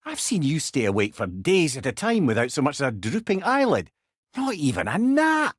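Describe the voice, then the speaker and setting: An actor playing a wise, wry old Scottish storyteller and companion in a video game, speaking eloquently with a lilt. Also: male voice, on the high side, huge pitch swings, normal speed, slightly rough, no echo, clean studio sound, heavily acted. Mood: amused, chatty. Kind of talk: video game character dialogue.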